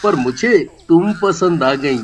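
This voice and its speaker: A man's voice: on the high side, expressive, slow. A man playing a loving young husband in a story drama, earnest and melodramatic. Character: loving, soft-spoken young husband, anxious and grieving.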